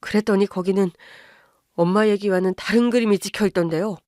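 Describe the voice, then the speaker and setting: A woman voicing a mild young husband who speaks low, measured and firm, holding back anger. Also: fairly even intonation, darkish tone, dry recording, studio quality, lightly acted. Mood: calm resolute.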